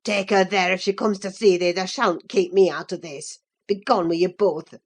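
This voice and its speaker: A woman voicing a furious, bullying young man in an audiobook, gruff and commanding, as if choked with passion. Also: lowish voice, steady tone, normal speed, voice pushed down. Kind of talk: audiobook character voice.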